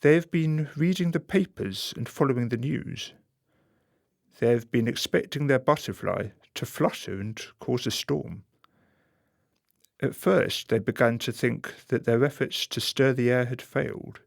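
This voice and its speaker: A man's voice: mid-range voice, lively intonation, unhurried, creaky, no room sound, clean studio sound. A man acting as a genial, knowing storyteller who narrates a radio play directly to the listener. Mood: genial, confiding.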